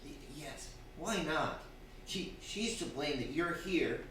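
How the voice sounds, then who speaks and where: In a very reverberant hall, a man plays a henpecked, frightened husband from a radio play. His fairly high voice stammers and hesitates, slow and unsure. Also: expressive. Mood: desperate, resolving. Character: timid, weak-willed henpecked husband, frightened and desperate. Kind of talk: stage radio drama acting. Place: theatre stage before a live audience. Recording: very echoey hall, distant, faint noise.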